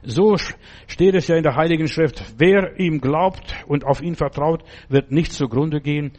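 Man, preacher, fervent sermon, slightly high voice. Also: moderate pace. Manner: emphatic, fervent, exclaims names loudly. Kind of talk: sermon and prayer.

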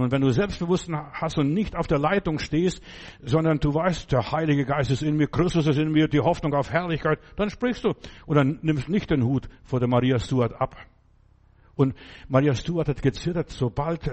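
A man's voice: a little high, moderate pace, a little mellow. Fervent male preacher, non-native German. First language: not German. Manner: fervent, emphatic, repeats phrases. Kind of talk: sermon.

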